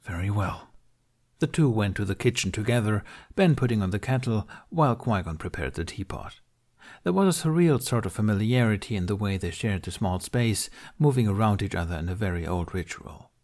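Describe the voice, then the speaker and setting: Low-voiced man reading a story. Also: animated, brisk, darkish tone. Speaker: audiobook-style reader of fan fiction. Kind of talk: scripted story narration.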